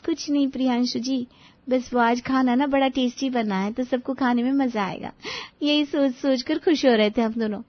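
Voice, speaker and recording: Woman playing an eager, very high-voiced young daughter-in-law. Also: steady tone, moderate pace, dry recording, dull audio, lightly acted. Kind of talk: cartoon story dialogue.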